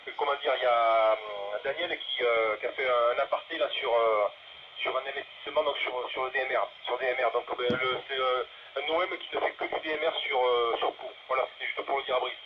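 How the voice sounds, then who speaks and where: A man's voice: medium pitch, fairly even intonation, brisk. Man chatting over a noisy, muffled radio. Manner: hesitant, rambling, repeats words. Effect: two-way radio transmission. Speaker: amateur radio operator. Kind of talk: casual radio chat.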